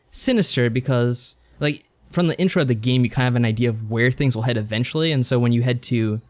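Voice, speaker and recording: A man's voice: mid-range voice, darkish tone. A man co-hosting a podcast in a casual review chat. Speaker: podcast co-host.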